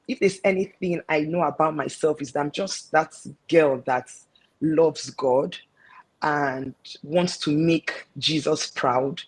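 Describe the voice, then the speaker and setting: A woman's voice: sits low, husky, poor audio. A woman with a Nigerian accent, a guest earnestly recounting her life and faith in an interview. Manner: earnest, reflective storytelling. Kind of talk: personal testimony in an interview.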